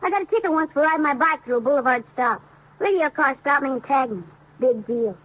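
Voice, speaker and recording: A performer acting a sullen, defiant fourteen-year-old boy in a 1950s radio crime drama, with a husky young voice and curt, sarcastic delivery. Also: high voice, animated, a little fast, a bit bright. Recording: muffled old radio recording.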